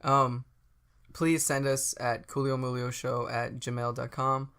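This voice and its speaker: Young guy podcast cohost, gravelly croaky voice, goofy and jokey. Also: mid-range voice, little pitch movement, slow pace, fairly bright tone. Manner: goofy, jokey, repeats himself. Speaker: podcast co-host. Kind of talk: casual chat.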